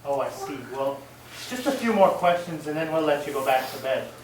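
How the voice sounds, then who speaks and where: A man's voice: a little high, expressive, moderate pace, close to an everyday voice. Man acting methodical police detective in echoey room. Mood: businesslike. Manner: methodical, official questioning. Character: businesslike police detective questioning a couple at night. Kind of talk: old-time radio mystery acting. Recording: roomy, distant stage recording, faint noise.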